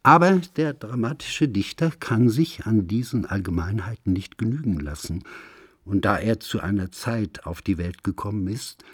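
A man reading a literary essay in a measured, grave, declamatory manner, like a solemn radio recitation. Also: lowish voice, animated, slightly rough, no echo, clean studio sound.

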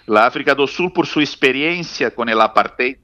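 A man's voice: mid-range voice, lively intonation, moderate pace, sharp tone, dry recording. A man speaking Spanish as a second language in an interview, hesitant and full of restarts, yet speaking with urgent conviction. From Palestinian community. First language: not Spanish. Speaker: interview guest. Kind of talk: halting interview answers.

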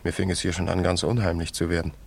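A man playing a courteous, smitten young painter in a German radio play, speaking in a soft, earnest manner. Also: low-pitched, little pitch movement, fast talker, creaky, breathy edge, full character voice. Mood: wistful, courting.

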